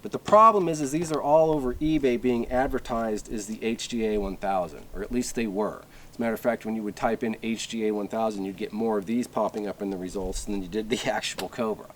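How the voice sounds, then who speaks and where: A man's voice: lowish voice, fairly quick, hardly any pauses, fairly bright tone. A man giving a casual, off-the-cuff product review, rambling a little and repeating himself for emphasis. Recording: clearly noisy recording with clicks.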